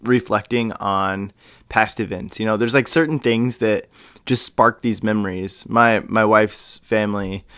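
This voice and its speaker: Male podcast interviewer, casual and affirming, clean smooth tone on the low side, dry close sound. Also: steady tone, unhurried, fairly bright tone, dry recording. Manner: enthusiastic, affirming. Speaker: podcast host.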